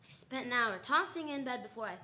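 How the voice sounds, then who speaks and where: A woman's voice: a little high, expressive, fast, pushed and bright, a little hiss or hum. A woman playing a wisecracking female private detective in a 1940s-style radio drama, with slangy, wry delivery. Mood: wry, narrating.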